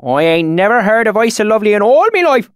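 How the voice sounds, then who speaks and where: A man's voice: high voice, very animated, normal speed, no room sound, studio quality, pitched above the performer's own voice. A man voicing a weathered rustic swineherd who speaks in a thick, oily country dialect. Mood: friendly.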